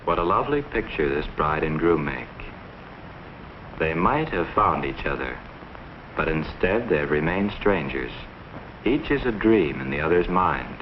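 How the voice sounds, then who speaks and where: A man narrating a 1950s educational film in a measured, analytical manner from a script. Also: rumbling low, sing-song. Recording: muffled 1950s film soundtrack, faint noise.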